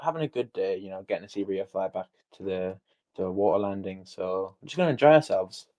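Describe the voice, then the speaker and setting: A young man co-hosting a casual livestream, quick and teasing. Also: low-pitched, lively intonation, dark tone. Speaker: co-host.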